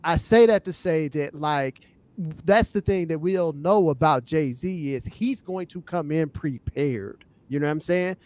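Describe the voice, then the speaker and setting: Guy on a hip hop podcast, bit higher voice, super dry sound, breaking stuff down step by step. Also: measured pace, darkish tone, dry recording. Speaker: podcast panelist. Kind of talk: casual hip hop discussion.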